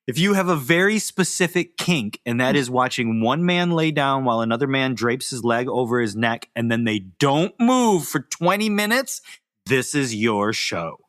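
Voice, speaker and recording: Laughing Midwestern man, lively pitch. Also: moderate pace, no echo, clean studio sound. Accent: Midwestern American. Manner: laughs often, profane and jokey. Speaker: podcast co-host. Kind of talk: casual chat.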